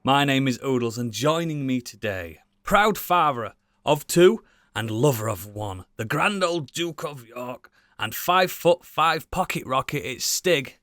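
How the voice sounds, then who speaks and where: A man's voice: mid-range voice, expressive, measured pace, fairly bright tone, no room sound, clean studio sound. A middle-aged man hosting a podcast, bantering with jokey, sweary introductions. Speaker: podcast host. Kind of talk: casual banter.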